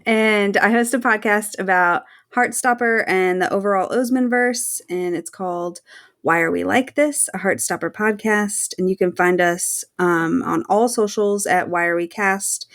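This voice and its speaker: Woman chatting casually on a podcast, mid-pitched. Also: moderate pace. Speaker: podcast panelist.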